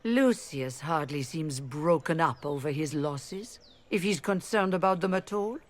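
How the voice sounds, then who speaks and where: A woman's voice: sits low, lively intonation, a little slow. A woman playing a proud, diplomatic elven leader of rebel mages in a video game, speaking formally. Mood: bitter, defensive.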